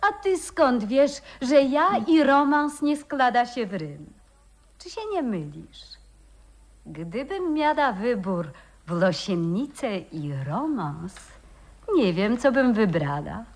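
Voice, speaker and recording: A woman's voice: on the high side, sing-song, slow pace, darkish tone, heavily acted. An actress playing a dreamy, affectionate, romantic young woman in a radio play, speaking lyrically. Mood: yearning. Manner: lyrical, exclamatory.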